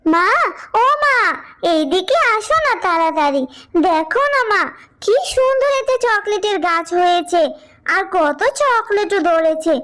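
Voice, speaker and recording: A woman's voice: extremely high pitch, expressive, unhurried, fairly bright tone, full character voice. A woman voicing a sweet, childlike village girl in a cartoon, whiny and eager, with a pleading manner. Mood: excited. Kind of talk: cartoon voice acting.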